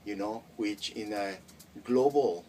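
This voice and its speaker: Middle-aged man, Spanish first language, low voice heard from across the room, thoughtful and full of restarts. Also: slow, darkish tone. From Mexico. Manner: reflective, many hesitations and restarts. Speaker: interviewee. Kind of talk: casual interview. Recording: distant mic with faint noise.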